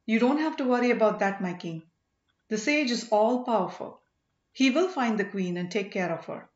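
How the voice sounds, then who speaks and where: A devoted, plain-spoken servant man from a storybook, played by a woman with a fairly high voice. He is respectful yet emphatic and reassuring. The recording is roomy and a touch dull. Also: lively intonation, normal speed, darkish tone, natural voice.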